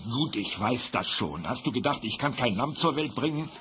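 A man's voice: medium pitch, lively intonation, moderate pace, close to an everyday voice. An actor playing a rude, brusque man posing as a shepherd in an old radio drama. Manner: gruff, brusque, dismissive. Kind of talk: 1970s radio drama acting. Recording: loud tape hiss, old recording.

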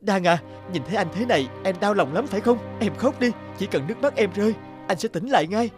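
A man voicing an earnest, expressive young office worker in a cartoon, with music underneath. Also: high voice, quick pace. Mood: distressed, pleading. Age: young adult. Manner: expressive, dramatic. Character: earnest young office manager, groom-to-be, easily distressed. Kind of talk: cartoon dubbing.

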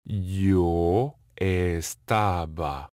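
Slow, deliberate low-voiced man. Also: a little mellow, dry recording. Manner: repeats each phrase slowly and clearly. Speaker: language lesson reader. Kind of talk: slow, carefully enunciated drill reading. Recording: dry, close studio voice-over.

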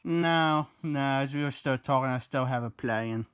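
A man hosting a casual chat over a push-to-talk app, relaxed and easygoing. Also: medium pitch, moderate pace, clear, resonant tone. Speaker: video host.

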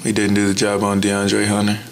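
Flat-voiced young man at postgame presser. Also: on the low side, slight background noise. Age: young adult. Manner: measured, even, blunt, frequent "you know". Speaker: college basketball player. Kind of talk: postgame press conference answers. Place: indoor press room. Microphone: table mic in front.

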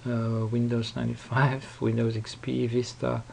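Slow, gravelly man giving a casual tutorial. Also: medium pitch, little pitch movement, darkish tone, a little hiss or hum. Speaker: tutorial presenter. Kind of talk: casual unscripted software tutorial. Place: voice-over recorded at a computer.

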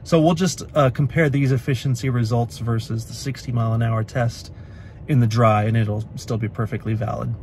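A man's voice: mid-range voice, steady tone, moderate pace, a little mellow, slight background noise. A man in his thirties from the Pacific Northwest, vlogging to a phone camera from inside his car at night, talking in a chatty, matter-of-fact way with lots of uhs. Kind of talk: casual vlog talk to camera. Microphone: phone camera at arm's length.

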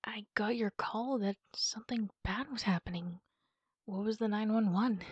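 A woman speaking casually and haltingly in a comforting ASMR roleplay, as a reassuring friend talking to the listener. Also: medium pitch, husky, no room sound, highs cut off. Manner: reassuring, informal, halting with pauses. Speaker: ASMR roleplay performer playing a supportive friend.

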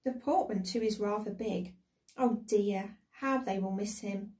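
A woman's voice: medium pitch, unhurried, darkish tone, far from the mic, close to an everyday voice. A woman voicing an imaginative, lonely young girl who muses wistfully, in a storybook reading. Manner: musing, wistful.

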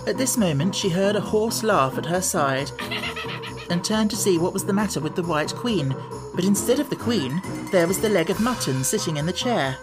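A woman reading a children's classic as an audiobook narrator, in an expressive storytelling manner. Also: lowish voice, normal speed, over music.

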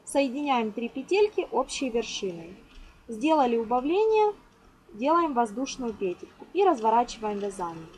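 High, lively woman giving a tutorial. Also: normal speed, rough recording. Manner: instructional, counts aloud, uses affectionate diminutives. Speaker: craft tutorial host. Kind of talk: step-by-step crochet lesson, informal narration. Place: indoors at a table. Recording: clear background noise, amateur home recording.

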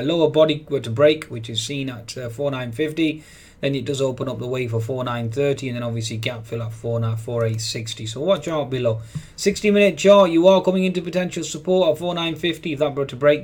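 Man, market analyst, voice-over on charts, filler-heavy, hesitant delivery, medium pitch. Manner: hesitant, many "uh" fillers, repeats "certainly". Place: voice-over on screen recording.

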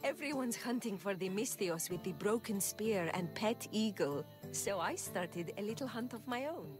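A woman's voice: medium pitch, lively intonation, over music, lightly acted. An actress playing a feisty, playful young Greek woman in a video game, bold and teasing in manner. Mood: surprised, pleased. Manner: bold, quick-tempered, teasing. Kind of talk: video game cutscene dialogue.

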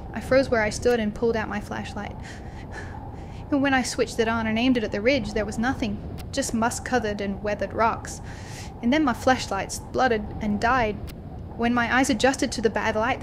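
A woman's voice: on the high side, steady tone, normal speed, noisy background, lightly acted. A woman playing a young student confiding a horror story to her video diary in a dramatic way. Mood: panicked, breathless. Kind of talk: first-person horror audio diary.

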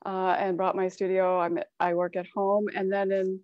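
A woman from Cleveland, Ohio, chats warmly about herself on a video panel with a few ums. Her call audio sounds dry and a little dull. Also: medium pitch, flat delivery, moderate pace, no room sound. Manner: friendly, chatty, some fillers. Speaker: artist on a panel. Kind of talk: casual panel talk.

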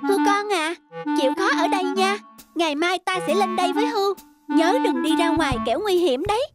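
Kind young village boy for a cartoon: very high, tender, childlike, earnest, over prominent music. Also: lively intonation, fast. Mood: tender, caring. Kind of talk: animated folk tale acting.

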